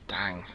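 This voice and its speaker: A South African man whose first language is Afrikaans, hosting a game livestream and chatting casually with viewers while he plays. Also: pushed and bright. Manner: chatty, reads out and answers viewers by name. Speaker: gaming livestream host. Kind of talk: casual livestream commentary while playing, answering chat.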